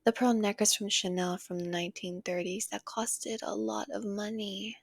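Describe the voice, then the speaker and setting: A woman playing a possessive, doting female villain in an intimate ASMR roleplay, coaxing and tender, with a breathy voice that often drops to a whisper. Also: mid-range voice, fairly even intonation, moderate pace, no room sound. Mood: smug, playful. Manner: coaxing, tender, lingering on pet names.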